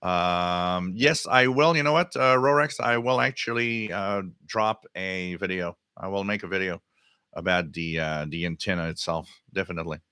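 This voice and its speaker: Male livestream host. Slightly low, crisp bright tone, halting off-the-cuff delivery, casual replies to chat. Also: dry recording, studio quality. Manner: halting, thinking aloud. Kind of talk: casual live stream chat, answering viewer comments.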